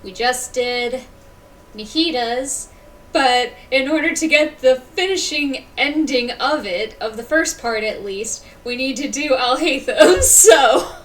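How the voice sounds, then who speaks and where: Slow-talking woman streaming a game. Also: sits high. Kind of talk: casual live-stream game commentary. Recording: distant mic, faint game music behind. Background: faint game music.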